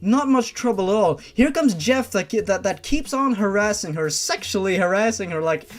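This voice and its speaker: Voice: mid-range voice, expressive, normal speed, soft background music. A host reading fan fiction aloud and breaking in with sarcastic, exasperated, sweary commentary. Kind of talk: story reading with mocking commentary.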